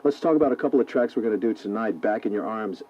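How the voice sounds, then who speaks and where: A male American rock DJ with a low, relaxed voice, presenting and chatting with guests on the radio. His tone is dark and mellow. The recording is a dull, lo-fi aircheck tape. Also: very fast. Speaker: radio DJ and interviewer.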